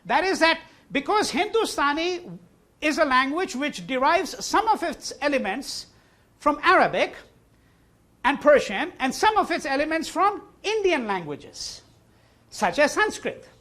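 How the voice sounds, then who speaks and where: Animated male lecturer, high voice. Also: unhurried. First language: Hindi. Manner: repeats key words for emphasis, asks and answers own questions. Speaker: university lecturer. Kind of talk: classroom lecture. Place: lecture hall.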